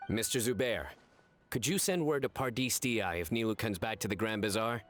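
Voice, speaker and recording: A man's voice: on the low side, normal speed, natural voice. A man playing a composed, serious general in a video game. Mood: composed, purposeful. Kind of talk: video game character voice acting. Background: music.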